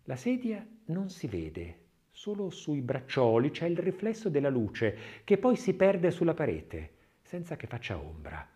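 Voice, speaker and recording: A man reading a scripted literary audiobook essay as narrator, in a measured, expressive way. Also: fairly high pitch.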